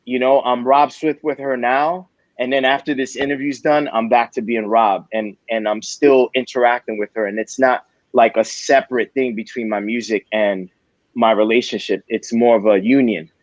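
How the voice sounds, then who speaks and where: A man chats as a guest in a relaxed, candid way, dropping casual slang. His voice sits mid-pitch, and the recording is dry and a little dull. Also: normal speed, dry recording. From New York City. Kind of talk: casual interview chat.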